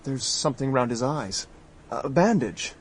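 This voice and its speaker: Brisk young guy in a radio play, urgent but steady, mid-range voice. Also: mid-range voice, husky. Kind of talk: 1960s radio drama acting.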